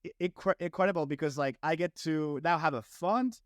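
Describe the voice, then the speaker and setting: A man's voice: a little high, normal speed, dry recording, studio quality. Upbeat young French-accented man. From France. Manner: upbeat, energetic, heavy use of "like". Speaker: guest entrepreneur. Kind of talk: casual interview conversation.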